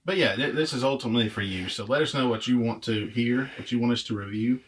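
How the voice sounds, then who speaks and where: A man's voice: mid-range voice, a little hiss or hum. A man from Alabama, co-hosting a casual retro game podcast and cracking dry jokes. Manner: quick, dry joking. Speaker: podcast co-host.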